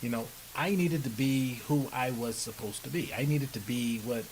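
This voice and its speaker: A man's voice: medium pitch, normal speed, low-quality recording. Middle aged guy from the bahamas being interviewed, emphatic, keeps checking in, bit of hiss behind him. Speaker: podcast guest, personal development speaker. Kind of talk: conversational interview answers. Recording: steady background hiss.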